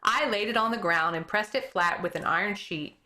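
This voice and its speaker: A teacher reading a children's book chapter aloud, expressive and correcting her own slips as she goes. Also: mid-range voice, normal speed.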